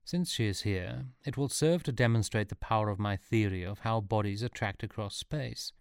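Man acting older male scientist, imperious, jealous, theatrical, crisp voice, dry sound, audiobook character. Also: lowish voice, moderate pace, no echo. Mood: smug, showy.

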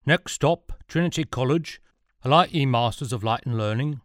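A man voicing a cheery coach driver, calling out loudly in grand old-fashioned phrases. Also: mid-range voice, normal speed, dry recording, studio quality, voice pushed up, close to an everyday voice. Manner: loud calling, archaic phrasing.

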